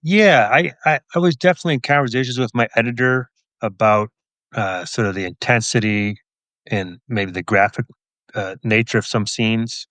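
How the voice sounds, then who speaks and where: A man answering interview questions as a guest author, casual and reflective, in a thoughtful, measured manner. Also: medium pitch, a bit bright, no room sound.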